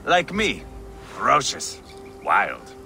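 An actor playing a brash, volatile Viking warrior in a video game, boastful and battle-hungry, with a swaggering, mocking delivery. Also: male voice, lowish voice, huge pitch swings, slow, creaky, sharp tone, faint music behind, full character voice. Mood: scornful. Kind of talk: dramatic video game acting.

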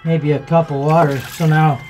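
A man's voice: fairly high pitch, normal speed, loud music behind the voice. A man casually talking through a boat repair as he works with his hands, thinking aloud in plain folksy words. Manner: relaxed, thinking aloud while working, plain folksy talk. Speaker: boat owner showing a repair. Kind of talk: casual hands-on how-to narration. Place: aboard a boat, in the bilge.

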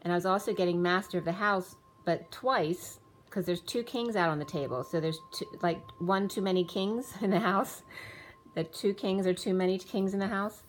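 A woman giving a casual tarot reading, rambling with restarts and going a little hoarse as she loses her voice. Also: mid-range voice, moderate pace, slight background noise, rough recording. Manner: rambling, many restarts and fillers, reads off card names and times. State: losing her voice. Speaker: tarot reader. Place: indoors, room with a table of spread cards.

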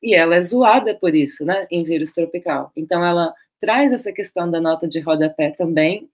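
Brief: Brazilian woman presenting research from home over a webcam, unhurried, hesitant and searching for words. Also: on the low side, dull audio. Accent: Brazilian Portuguese. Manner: loose, searching delivery with many fillers and restarts. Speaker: guest speaker. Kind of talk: academic talk over slides. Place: home room, on a video call.

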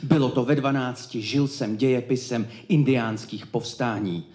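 A man delivers slam poetry live in an echoey room. His voice sits at a middle pitch, and he declaims each phrase emphatically, setting it apart with deliberate pauses. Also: darkish tone. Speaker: slam poet. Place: indoor cultural evening event, live before an audience.

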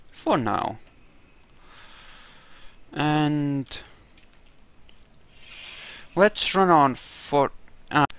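A man's voice: medium pitch, a bit bright, clear, resonant tone. A man giving casual gameplay commentary, muttering asides to himself as he plays. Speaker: gamer commentating on a playthrough.

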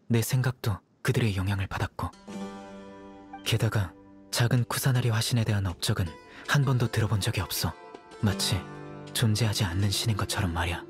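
A man voicing a calm, stern young male judge in a dubbed game, speaking in a measured, dry way. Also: fairly low pitch, husky. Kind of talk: game dub voice acting.

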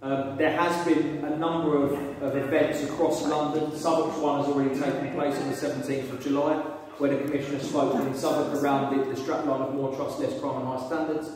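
A man's voice: fairly high pitch, level tone, brisk, few pauses, a little mellow. A man, a police sergeant, earnestly introducing himself and his work at a community meeting, filled with hesitant ums. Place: large echoey meeting room. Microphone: phone camera from across the room. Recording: echoey room recorded from far off.

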